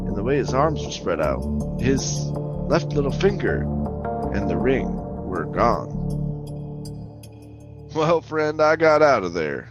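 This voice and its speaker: A man's voice: medium pitch, natural voice. A man playing a jittery, haunted oil rig worker telling his suspense story in colloquial, stammering old-time radio style. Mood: haunted recounting. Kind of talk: old-time radio drama narration, first-person suspense.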